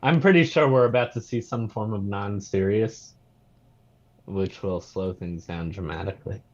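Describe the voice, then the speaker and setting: A man giving measured, analytical bridge commentary with dry quips. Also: on the low side, a little mellow, muffled recording.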